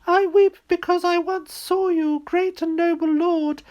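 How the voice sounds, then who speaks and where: Falsetto lovelorn weeping maiden. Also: male voice, steady tone, measured pace, a little mellow, highs cut off. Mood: tearful adoration. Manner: gushing, theatrical.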